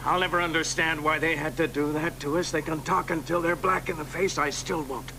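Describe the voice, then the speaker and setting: A man's voice: on the high side, steady tone, brisk, vocal fry. A stern, bitter father. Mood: resentful. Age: middle-aged. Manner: clipped, stubborn, flares into anger. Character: stern middle-aged father, bitter and unyielding. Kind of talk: radio drama acting. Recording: hissy broadcast recording.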